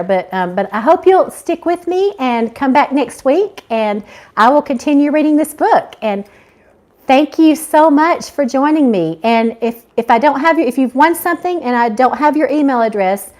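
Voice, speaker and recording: High-voiced, effusive woman hosting casually. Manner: effusive, grateful. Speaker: author and live-stream host. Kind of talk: casual live chat with viewers.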